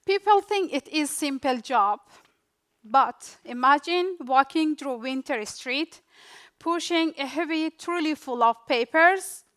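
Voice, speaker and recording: A young woman from Afghanistan with an Afghan accent, whose first language is Dari, giving a rehearsed personal storytelling speech in short, deliberate phrases. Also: high voice, a bit bright. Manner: slow, deliberate delivery in short dramatic phrases with pauses. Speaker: refugee speaker.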